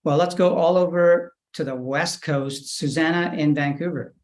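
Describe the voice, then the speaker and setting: A man's voice: a little high, steady tone, normal speed. A man hosting and moderating a panel discussion over a video call, politely introducing each guest. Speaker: panel host.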